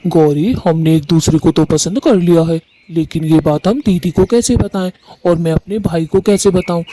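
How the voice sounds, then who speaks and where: Highish, mellow, earnest male bird played by a man. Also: normal speed, natural voice, low-quality recording. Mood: hopeful. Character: earnest, affectionate young male bird suitor and brother-in-law. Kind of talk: animated story dialogue.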